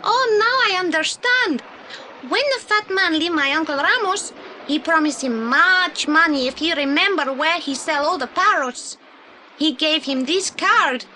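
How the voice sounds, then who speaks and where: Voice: very high-pitched, expressive, unhurried, sharp tone, slight background noise, heavily acted, poor audio. An actor playing an earnest, polite young boy with a Spanish accent and broken English in an audio play. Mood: earnest, eager. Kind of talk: 1980s audio play acting.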